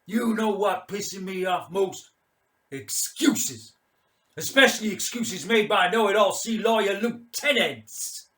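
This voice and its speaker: A middle-aged Marine commander, acted out by a male story reader, drunk and spoiling for a fight. He barks profane orders in a loud, raised, forceful voice, bullying everyone in earshot. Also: sits high, lively intonation, a little slow, reverberant, pitched above the performer's own voice. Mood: menacing. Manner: barking, domineering, profane.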